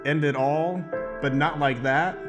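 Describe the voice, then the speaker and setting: A man playing a slick divorce attorney giving a salesman-like pitch in a radio advert. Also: steady tone, unhurried, music in the background, close to an everyday voice. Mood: slick, salesy.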